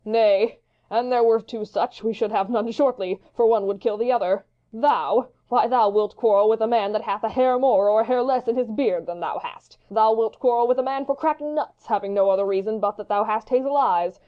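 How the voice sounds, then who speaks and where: A woman's voice: fairly high pitch, normal speed, muffled recording, natural voice. A woman playing a hot-headed, witty young swordsman in a Shakespeare audiobook, taunting and theatrical in long-winded speeches. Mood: teasing. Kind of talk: Shakespeare audiobook dramatic reading.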